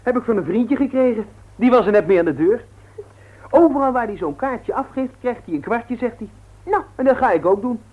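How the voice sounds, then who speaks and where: A man's voice: very high voice, expressive, brisk. A man playing a cheeky, scheming teenage son in a radio play, brash and glib. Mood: cocky. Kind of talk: vintage Dutch radio play acting. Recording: old narrow-band radio recording.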